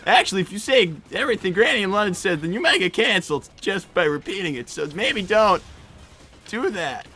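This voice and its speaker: A performer voicing an excitable young fox boy, a flustered older brother who stammers and argues on an in-character gaming livestream. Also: mid-range voice, brisk, soft background music. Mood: awkward, earnest. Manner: stammering, exasperated, argumentative.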